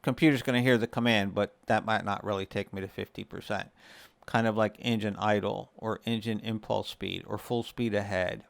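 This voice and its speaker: Smooth, low-voiced man explaining things casually. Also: fairly even intonation, moderate pace, no echo. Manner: conversational, explains step by step. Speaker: video creator and host. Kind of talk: casual gaming tutorial talk.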